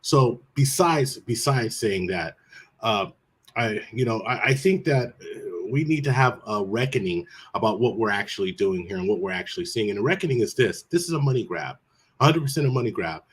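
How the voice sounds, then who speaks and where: Male panelist, husky, earnest and measured. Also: fairly low pitch, animated. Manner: measured, stops and restarts as he thinks. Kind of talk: earnest commentary.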